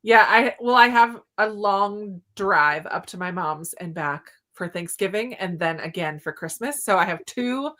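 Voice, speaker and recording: A woman's voice: mid-range voice, lively intonation, unhurried, few pauses, pushed and bright. A woman hosting a casual book discussion, talking in a chatty, informal way. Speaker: host of a book channel readalong.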